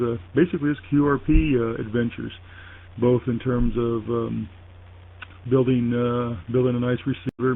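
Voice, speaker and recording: A man in a casual two-way radio chat, speaking haltingly and deliberately with frequent pauses. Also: mid-range voice, vocal fry. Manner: halting, deliberate, pausing often. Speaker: ham radio operator in a contact. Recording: tinny phone-like internet radio link.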